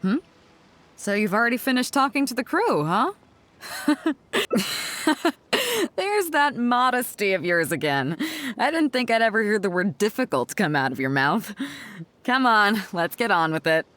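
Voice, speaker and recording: A woman's voice: mid-range voice, very animated, unhurried, long pauses, a bit bright. A woman voicing a bold, teasing female fleet captain in a video game, casual and confident. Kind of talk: scripted video game dialogue.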